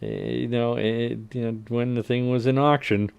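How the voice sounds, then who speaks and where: A man hosting a livestream, casually reading game update notes aloud and adding wry asides that trail off quietly. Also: mid-range voice, steady tone, moderate pace, clean tone. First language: English. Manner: frequent fillers and restarts while working out numbers. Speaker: livestream host.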